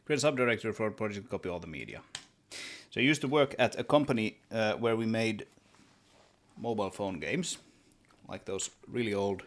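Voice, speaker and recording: A man with a Swedish accent and a deep, low voice chats casually while he works at his desk. He thinks aloud, restarting and repeating words in a relaxed, rambling way. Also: normal speed. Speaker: solo game developer streaming. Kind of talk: casual livestream chat while working.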